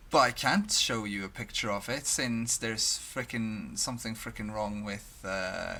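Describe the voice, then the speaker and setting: Slow, bright-voiced Swedish man vlogging. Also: fairly low pitch. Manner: halting, many restarts and fillers. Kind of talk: casual talk to camera. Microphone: headphones, desk mic at webcam.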